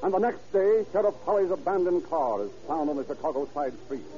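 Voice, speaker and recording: A man's voice: a little high, lively intonation, brisk, mellow, faint music behind. A man giving scripted, dramatic narration in the style of 1930s crime radio, solemn and portentous. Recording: muffled old radio recording.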